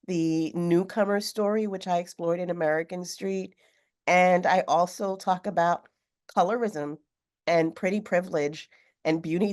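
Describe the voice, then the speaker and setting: A woman with a notably low voice speaks on a video call from her home. She is slightly hoarse from a cold and talks in a thoughtful, unhurried way with occasional fillers. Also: a little mellow. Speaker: author guest. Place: home room with sewing machine. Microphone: video call webcam.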